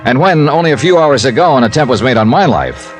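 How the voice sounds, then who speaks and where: An actor playing a wry, hard-boiled insurance investigator in a 1950s radio drama, clipped and matter-of-fact. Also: male voice, mid-range voice, expressive, quick pace, faint music behind, close to an everyday voice. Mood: wry narration. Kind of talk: 1950s radio detective acting and narration.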